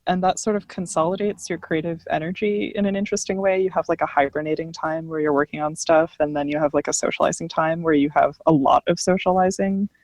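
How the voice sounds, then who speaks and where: A woman's voice: low voice, steady tone, fast, few pauses, darkish tone, no room sound. A woman speaking casually and articulately as a panelist in an informal discussion.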